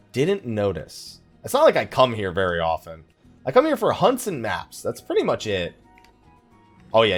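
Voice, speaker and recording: A man giving casual let's play commentary, chatting and rambling as he thinks aloud while he plays. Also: sits low. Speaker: gaming YouTuber, let's play host.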